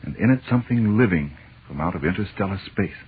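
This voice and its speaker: A man hosting an old radio drama, narrating from a script in a solemn, dramatic, deliberate manner. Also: low voice, lively intonation. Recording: tinny, hissy old radio recording.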